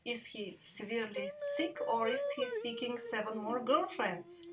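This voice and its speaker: A woman's voice: fairly high pitch, wildly swooping pitch, measured pace, loud music behind the voice. A woman interviewer asking questions in a conversational style. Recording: distant mic, clear crowd noise behind.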